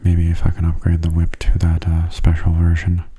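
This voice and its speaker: A man speaks very softly and huskily, with a low, dark, mellow voice that sits close to a whisper. He gives calm, understated gameplay commentary in an ASMR style, never hurrying and keeping his delivery gentle. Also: normal speed. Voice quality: soft, breathy, often near a whisper. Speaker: gaming video host.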